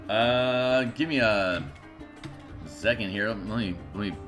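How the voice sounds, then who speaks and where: A raspy, tired man chatting casually on a live stream while making game art, rambling and muttering to himself as he works. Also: on the low side, takes it slowly, a bit bright, soft background music. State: tired, just woke up.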